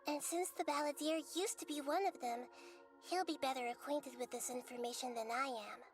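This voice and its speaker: A high, youthful voice over soft music, a woman playing a wise child goddess from a fantasy game. She is gentle and earnest, choosing her words in a measured, articulate way. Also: fairly even intonation, natural voice. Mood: calm, explanatory. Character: gentle, wise young girl deity of a nation. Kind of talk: scripted video game voice acting.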